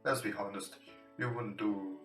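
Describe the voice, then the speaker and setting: A man with non-native English gives casual let's play commentary at a slow, halting pace, reading game text as he goes. His mid-pitched voice sounds roomy and set back from the mic. Gentle guitar music plays behind him. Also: fairly even intonation, recorded from a distance. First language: not English. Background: soft guitar game music.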